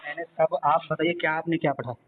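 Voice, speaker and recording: A man with a notably high voice argues back over the phone. He challenges and cuts in, repeating his questions and demands. The audio is thin and narrow, like a phone recording. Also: fairly even intonation, fast talker, noisy background. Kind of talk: argumentative phone conversation. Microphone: phone call. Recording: tinny phone-line call recording.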